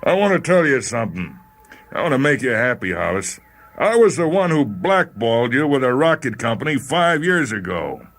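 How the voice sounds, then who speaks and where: Male narrator doing a mutinous spaceman, bitter, sneering, swooping delivery, raised above the reader's own low voice. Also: sing-song, moderate pace, fairly bright tone. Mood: spiteful. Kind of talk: dramatized character voice in story reading.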